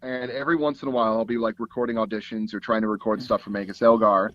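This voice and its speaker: A man with a fairly low voice chats casually as an interview guest. He rambles through his stories, restarting phrases as he goes. The sound is very dry, close and a little dull, as from a foam-padded closet booth. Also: fast, no room sound. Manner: rambling, animated storytelling with frequent "like" and repeated false starts. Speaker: interview guest, sound designer and audio drama producer.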